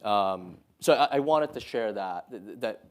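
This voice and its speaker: A man in his thirties speaks to a live audience in a lecture room in a slightly low voice. He is earnest and measured, and he fills his pauses with frequent "uh" and "um". It sounds like a guest talk, not a script. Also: expressive, slight background noise. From Saudi Arabia. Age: 30s. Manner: earnest, measured, many "uh" and "um" fillers. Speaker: guest speaker, Marine officer.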